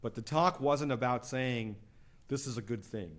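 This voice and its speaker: A man's voice: mid-range voice, moderate pace. A middle-aged man lecturing on law answers questions from the floor in a lecture hall. He talks in a loose, chatty way and keeps checking in with the audience as he goes. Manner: conversational, frequent "right?" and "you know".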